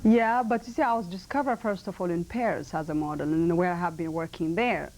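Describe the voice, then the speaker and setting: A woman's voice: fairly low pitch, a little mellow, low-quality recording. Ethiopian-accented woman, earnest and emphatic. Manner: earnest, emphatic, with halting non-native phrasing. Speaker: model and actress. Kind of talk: TV interview. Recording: 1990 TV broadcast.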